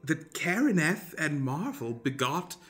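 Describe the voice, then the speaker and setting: A man's voice: on the high side, sing-song, measured pace, fairly bright tone, soft background music. A man playing a bookish, easily astonished nobleman scholar in an improvised comedy radio play, incredulous and declamatory. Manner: incredulous, declamatory when reading.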